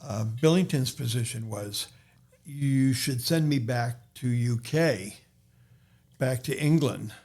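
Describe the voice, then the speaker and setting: Slow, halting man with a Boston accent. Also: mid-range voice, a little mellow. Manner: slow, halting, many restarts and fillers. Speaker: podcast guest, author. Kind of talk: casual podcast conversation.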